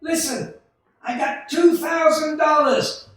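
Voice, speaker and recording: Radio drama lead: excitable, self-doubting young family man, played by a man, high-pitched, folksy and emphatic, distant with heavy room echo. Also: slow pace, full character voice. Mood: urgent, reassuring. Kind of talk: 1940s radio play acting. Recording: distant, very echoey stage hall.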